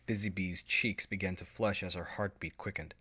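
Bright-voiced man narrating a story. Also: sits low, steady tone, moderate pace, husky.

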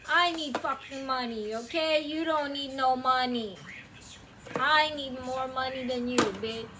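Young woman, high voice, slow angry rant, profane and restless, recorded on a distant phone in a noisy bedroom. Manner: profane, confrontational, restless, moves around while talking. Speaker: vlogger. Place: small cluttered bedroom, indoors. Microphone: phone camera, often far away. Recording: distant phone audio with clear background noise.

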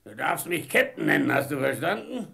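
An actor playing a gruff, boisterous old rum-drinking sea captain with a creaky, gravelly voice in a German radio play. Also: male voice, a little high, big pitch swings, pushed and bright, heavily acted. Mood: gruff, amused. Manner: blustering, drawn-out sailor talk with chuckles.

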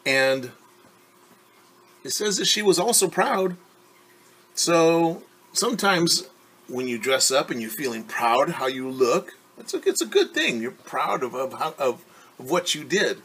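A husky-voiced man in his forties reads a children's story aloud in a teacher's style, with very varied intonation. He stops to explain words simply and ask questions. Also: a little high, very animated, a bit bright, poor audio. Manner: explains words slowly, asks rhetorical questions. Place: small room at home.

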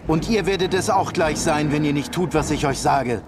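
An actor playing a harsh, commanding palace guard captain in a fantasy game, barking urgent orders. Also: male voice, a little high, normal speed, music in the background, close to an everyday voice. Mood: urgent, stern.

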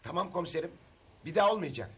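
An actor playing a weary, protective younger brother in a TV drama, pleading and placating, sometimes exasperated. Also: male voice, a little high, animated, rapid-fire, a bit bright, slightly rough, exaggerated voice. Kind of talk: Turkish TV drama acting.

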